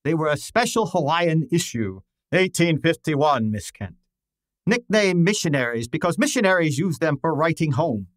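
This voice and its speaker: A man's voice: a little high, sing-song, normal speed, dry recording, clean studio sound. A man playing a pompous, exacting private detective in an old-time radio drama, with formal, precise and theatrical diction. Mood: smug, explanatory.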